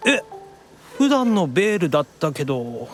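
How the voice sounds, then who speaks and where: An actor playing a youthful, excitable demon younger brother in an anime audio drama, casual and expressive in his reactions. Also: on the high side, moderate pace, soft background music. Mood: puzzled.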